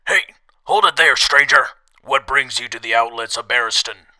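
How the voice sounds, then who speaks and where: A man's voice: mid-range voice, moderate pace, pushed and bright, no room sound. A man playing a wary gate guard in a post-apocalyptic radio drama. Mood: wary, then friendly.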